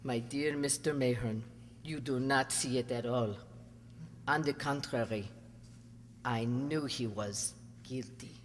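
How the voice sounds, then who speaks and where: A woman's voice: slow pace, long pauses, a little hiss or hum. A woman playing a proud, devoted and cunning former actress in an amateur radio drama, with bitter composure. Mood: grave, knowing. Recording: boomy hall reverb.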